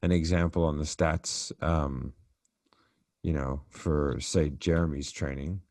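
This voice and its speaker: A man's voice: extremely low pitch, fairly even intonation, slow, darkish tone, no echo, studio quality. A man on a recorded sales coaching call, talking conversationally and asking probing questions. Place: recorded call, roomy sound.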